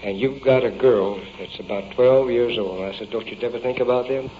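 Male witness, medium pitch, halting and folksy in phrasing, on a dull old field recording. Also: slight background noise. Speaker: witness giving police statement. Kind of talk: unscripted, halting recollection.

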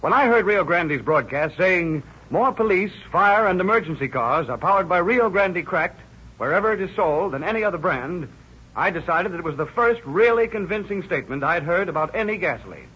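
An actor playing an earnest newcomer reading out a testimonial letter in an emphatic 1930s radio advert style. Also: male voice, high voice, lively intonation, normal speed, breathy edge. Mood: earnest, convinced. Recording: dull old radio recording.

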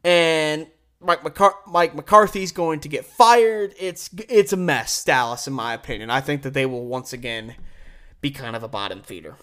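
A man's voice: fairly high pitch, normal speed, fairly bright tone. A man hosting a live sports show, giving opinionated, conversational commentary on game picks and punching key words.

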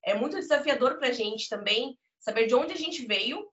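A woman's voice: a little high, lively intonation, normal speed, fairly bright tone. A woman from Brazil, a podcast guest, telling her story in an earnest, emphatic way, often checking in with "né?". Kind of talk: conversational interview. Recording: muffled lo-fi audio.

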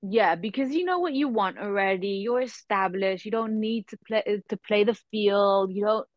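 A woman's voice: medium pitch, normal speed, no room sound. Woman in her thirties, dry muffled sound. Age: 30s. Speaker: podcast host. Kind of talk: casual conversational discussion.

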